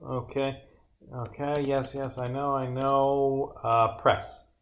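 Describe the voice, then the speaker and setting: Male game developer on stream, mid-pitched, casual, reads script lines aloud with muttered asides. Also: flat delivery, slow, slight background noise. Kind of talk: casual livestream playthrough, reading game dialogue aloud.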